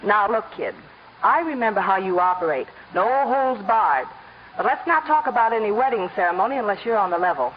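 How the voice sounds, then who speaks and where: A woman's voice: medium pitch, animated, fairly quick, close to an everyday voice. A woman playing a wary, unimpressed nightclub singer, dry and reproachful toward her man in a radio comedy. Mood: skeptical, wounded. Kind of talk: 1950s radio comedy acting. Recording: dull, noisy vintage radio recording.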